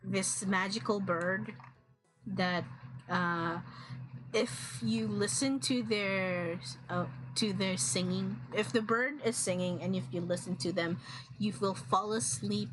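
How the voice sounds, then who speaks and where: A woman's voice: mid-range voice, steady tone, slow pace, fairly bright tone, faint music behind, poor audio. A young woman with a Filipino accent, whose first language is a regional Philippine one, chatting casually on a drawing stream with many fillers and restarts. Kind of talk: casual chat while drawing.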